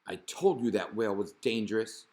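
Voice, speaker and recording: A man's voice: lowish voice, normal speed, warm tone, roomy sound, natural voice. A man voicing an irritable, suspicious male fish in a storybook, accusing and alarmist. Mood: wary warning.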